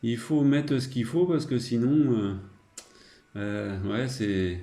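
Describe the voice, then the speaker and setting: A man's voice: medium pitch, slow pace, warm tone. A man chatting casually as a radio operator, in a rambling, hesitant way. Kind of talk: casual radio contact chat.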